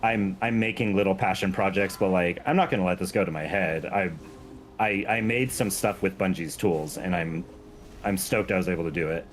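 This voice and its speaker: A man's voice: low voice, normal speed. A man talking casually and earnestly on a gaming stream as a guest, informal and self-effacing. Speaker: guest, game mod creator.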